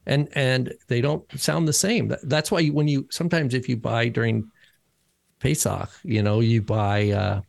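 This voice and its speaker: A man's voice: medium pitch, steady tone, measured pace, vocal fry, no room sound. A man co-hosting a podcast who explains letters and sounds in a casual, lecturing way. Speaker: podcast co-host and teacher.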